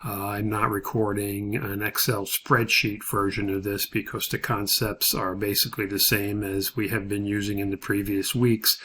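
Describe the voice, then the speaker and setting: A man's voice: lowish voice, sharp tone, a little hiss or hum. A man teaching as a college instructor, explaining assignment steps in an informal, matter-of-fact, methodical lecture.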